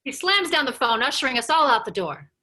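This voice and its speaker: A woman's voice: high-pitched, big pitch swings, fairly quick, background noise. A woman narrating stage directions at a script table read.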